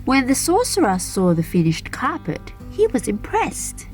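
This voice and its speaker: A woman narrating a children's fairy tale aloud as a storyteller, in an expressive, deliberate manner. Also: fairly high pitch, soft background music. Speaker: storyteller and channel host.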